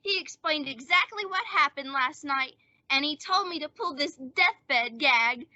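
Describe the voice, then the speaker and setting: Woman playing a high-pitched, melodramatic teenage boy. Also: animated, sharp tone, dry recording, exaggerated voice. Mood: eager, confiding. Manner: drawn-out mock-dying groans and boyish bluster. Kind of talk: old-time radio comedy acting. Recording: dull, band-limited dry audio.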